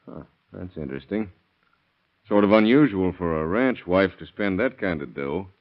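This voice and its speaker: A probing blunt sheriff, low voice, old radio crime show, muffled recording. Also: male voice, animated, normal speed. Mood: musing. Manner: blunt, pressing questioner. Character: shrewd, probing male county sheriff questioning suspects. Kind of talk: 1930s radio crime drama acting.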